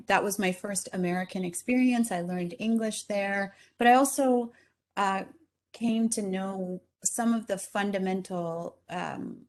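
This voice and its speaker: Chile-born woman giving a talk. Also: fairly low pitch. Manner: conversational, many ums and restarts. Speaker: speaker. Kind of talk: conference talk.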